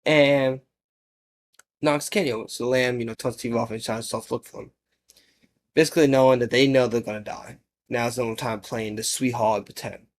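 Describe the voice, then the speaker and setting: Casual rambling male horror commentator. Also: medium pitch. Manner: rambling, halting, rephrases mid-sentence. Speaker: video commentator.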